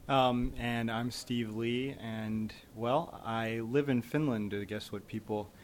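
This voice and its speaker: An American man, a company head, answering interview questions in a measured, explanatory way. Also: mid-range voice, fairly even intonation, slight background noise. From United States. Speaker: company CEO guest. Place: conference summit venue.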